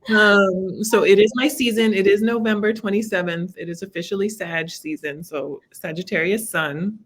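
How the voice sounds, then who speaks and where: A woman's voice: mid-range voice, fairly even intonation, moderate pace. A woman from Los Angeles, a therapist guesting on a podcast, chatting casually in a bubbly, self-mocking way. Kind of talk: casual chat.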